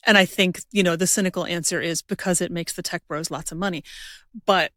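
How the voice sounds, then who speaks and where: A woman hosting a casual interview podcast, talking thoughtfully and building her points in short, measured phrases. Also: on the low side, steady tone, a bit bright, no room sound, studio quality. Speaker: podcast host.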